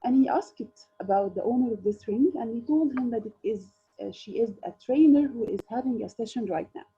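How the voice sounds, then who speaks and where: A woman's voice: fairly high pitch, fairly quick, warm tone, husky, faint music behind. A woman whose first language is Arabic, joining a workshop by video call and speaking English hesitantly, with many fillers and restarts. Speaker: workshop participant. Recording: dull lo-fi call audio.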